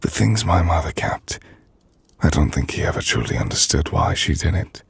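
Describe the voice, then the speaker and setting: A man playing a melancholy, gentle half-vampire nobleman in an intimate ASMR roleplay, speaking in a hushed, breathy, formal and reflective manner. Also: big pitch swings, heavily acted. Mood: fond, wistful. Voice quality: low, gravelly, breathy. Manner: hushed, breathy, slow reflective delivery with formal, measured phrasing. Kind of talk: ASMR audio roleplay, intimate dramatic monologue.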